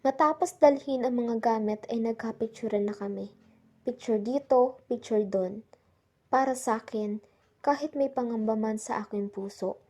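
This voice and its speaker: Young woman acting, high voice, first-person radio play narration, uneasy storytelling. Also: dark tone, lightly acted. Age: young adult. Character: young woman recounting a frightening island trip.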